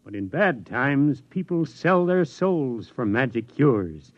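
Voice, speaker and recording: A man's voice: mid-range voice, very animated, slow, no echo. An actor in a 1950s radio drama playing a weary older male scientist who speaks reflectively and ruefully. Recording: dull old radio recording.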